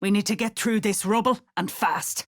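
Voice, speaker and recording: A woman's voice: mid-range voice, moderate pace, no echo, clean studio sound, natural voice. A woman playing a menacing female cultist overseer in a video game, curt, threatening and full of contempt. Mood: impatient, bossy. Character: gruff, menacing female cultist slave-driver bossing diggers. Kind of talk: video game character acting.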